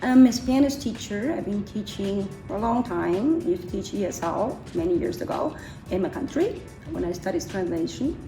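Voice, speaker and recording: A woman from Argentina who teaches Spanish, talking in a lively, chatty way. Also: mid-range voice, normal speed. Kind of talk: interview clip. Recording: echoey room with music bed.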